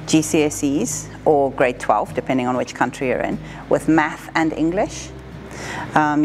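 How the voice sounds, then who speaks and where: A woman in her forties from southern Africa speaks in a fairly low voice, explaining her profession in an interview. Her delivery is measured and matter-of-fact. Faint music plays behind her. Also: darkish tone. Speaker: air traffic controller being interviewed. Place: indoor room with wood-panelled wall.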